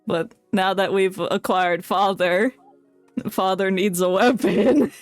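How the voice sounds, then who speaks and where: A woman's voice: mid-range voice, fairly even intonation, slow, no room sound, faint music behind. A woman chatting casually as she streams a game, with a playful, mock-dramatic manner. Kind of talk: casual livestream gameplay chat.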